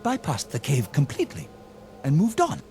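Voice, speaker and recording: An actor playing a shaken, compassionate army lieutenant in a radio drama, hesitant, earnest and pleading. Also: male voice, on the high side, wildly swooping pitch, moderate pace, creaky, heavily acted. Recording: music under the voice.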